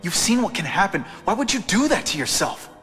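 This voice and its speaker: A man's voice: sits high, very animated, a little fast, bright, forceful tone, heavily acted. A man with an American accent acting a young, quippy, earnest superhero in a video game. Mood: probing, concerned. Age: young adult. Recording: music playing behind the voice.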